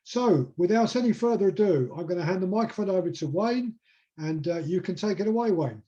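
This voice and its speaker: Very dark-voiced man, dull audio. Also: sits high, expressive, a little fast. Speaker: club meeting host introducing a speaker. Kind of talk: informal, halting introduction.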